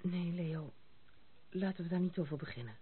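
A woman's voice: fairly low pitch, normal speed, a little hiss or hum, close to an everyday voice. An actress playing a frank, disillusioned young woman with a wry, direct manner in a radio drama. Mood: disillusioned, confiding. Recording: tinny, band-limited old radio recording.

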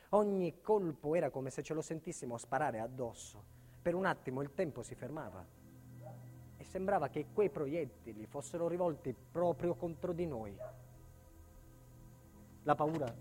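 A man's voice: mid-range voice, darkish tone, lightly acted. A male actor playing an embittered protected witness recounting a life in hiding, in a solemn, intense theatre monologue. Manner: solemn, intense, deliberate phrasing. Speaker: stage actor and narrator. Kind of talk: dramatic first-person theatre monologue.

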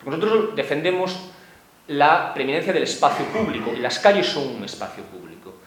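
A man giving a prepared talk on a panel, measured and argumentative, sometimes stumbling over repeated words. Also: fairly high pitch, a little hiss or hum. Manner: measured and argumentative, with stammered repetitions. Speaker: panel speaker, activist. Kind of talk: prepared talk at a round table. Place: cultural centre lecture room. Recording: echoey room with faint ambience.

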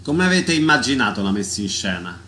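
A man's voice: on the low side, sing-song, a little fast, sharp tone. A man hosting a radio interview, giving concise prompts and formal announcements.

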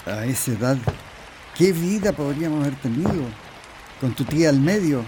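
A man's voice: fairly high pitch, expressive, normal speed, natural voice. A man playing a regretful, defensive former sweetheart in a radio drama, subdued. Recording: faint noise, rain ambience. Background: rain falling.

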